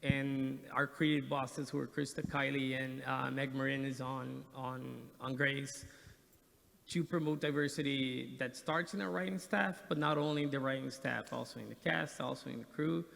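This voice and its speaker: A man's voice: medium pitch, fairly even intonation, moderate pace, gravelly, a bit bright, slight background noise. A man from Brazil, a television writer, giving earnest answers on a panel in an echoey hall. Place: large indoor conference hall.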